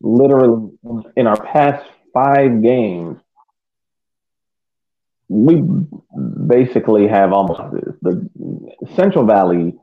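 A man co-hosting a soccer podcast, giving casual analysis in a halting, deliberate way. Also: mid-range voice, expressive. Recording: tinny phone-like call audio.

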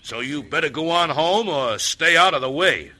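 A man's voice: on the high side, expressive, moderate pace, natural voice. An actor playing a hard, bloodthirsty ranch henchman with a Western drawl and folksy, pushy talk in an old radio western. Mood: scornful. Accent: American Western drawl. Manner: folksy cowboy slang, pushy. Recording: very noisy old radio recording.

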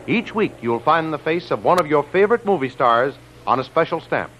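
Highish-voiced man announcing vintage radio serial. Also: expressive, brisk, a bit bright, dull audio, a little hiss or hum. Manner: declamatory, formal. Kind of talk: 1930s-40s radio announcing, scripted narration. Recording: old radio recording with music behind.

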